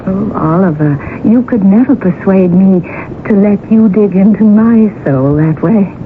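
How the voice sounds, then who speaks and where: An actress playing a genteel, loyal married woman, poised and gently wary, in a radio drama. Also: mid-range voice, unhurried, natural voice. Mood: wary, affectionate. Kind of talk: 1980s radio drama acting. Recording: tinny, noisy old radio broadcast recording.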